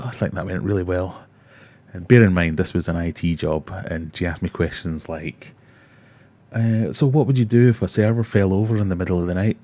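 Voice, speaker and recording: Low, dark-voiced Glaswegian man chatting. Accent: Glaswegian Scottish. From Scotland. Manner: chatty storytelling with self-mocking humor. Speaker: livestream host. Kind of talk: casual livestream chat reading comments.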